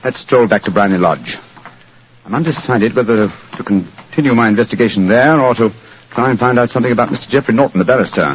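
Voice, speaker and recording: An actor playing a commanding English gentleman detective with an upper-class British accent, clipped and decisive, in 1940s radio style. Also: medium pitch, quick pace, close to an everyday voice. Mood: urgent, decisive. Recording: noisy, muffled 1940s radio recording.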